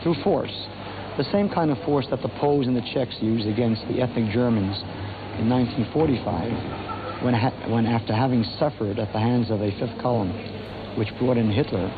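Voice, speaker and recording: A man with a Brooklyn accent answering interview questions in a blunt, steady, declarative way. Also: medium pitch, normal speed. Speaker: interviewee in archive clip. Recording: tinny old archive clip with noise.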